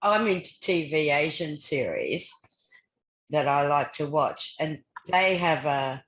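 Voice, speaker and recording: A woman's voice: deep voice, lively intonation, takes it slowly, a bit bright. An older woman with an Australian accent chatting casually as a podcast host, often repeating words as she goes. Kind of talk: casual conversation. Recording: roomy, echoey sound.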